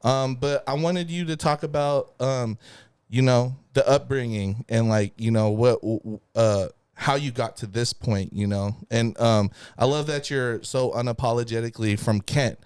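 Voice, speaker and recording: Smooth-voiced host with halting fillers. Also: male voice, medium pitch, fairly even intonation, a little mellow, dry recording. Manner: slow, hesitant, many fillers. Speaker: podcast host. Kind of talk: interview hosting.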